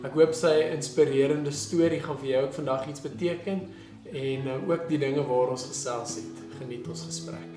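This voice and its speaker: A man's voice: medium pitch, normal speed, echoey room, music in the background. A man hosting a home interview, asking questions in a hesitant, searching way. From South Africa. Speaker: host. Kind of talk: casual interview. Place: his own house.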